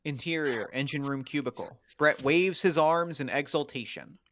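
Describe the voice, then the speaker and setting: A man's voice: on the high side, moderate pace, a bit bright, no room sound. A man reading sci-fi screenplay stage directions aloud as the narrator of a table read.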